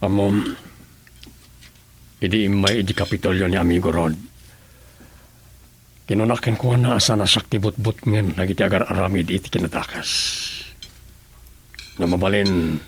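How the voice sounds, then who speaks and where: A man's voice: low voice, fairly even intonation, normal speed, pauses often, a little hiss or hum, close to an everyday voice. A man playing a grave confidant in a radio drama, with an Ilocano Filipino accent.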